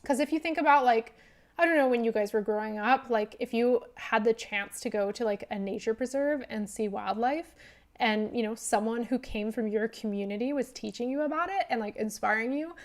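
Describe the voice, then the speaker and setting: A young woman hosting a casual livestream Q&A as a wildlife biologist, rambling with lots of "like" and "um", her voice going hoarse. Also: fairly high pitch. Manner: rambling, heavy use of "like" and "um". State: losing her voice.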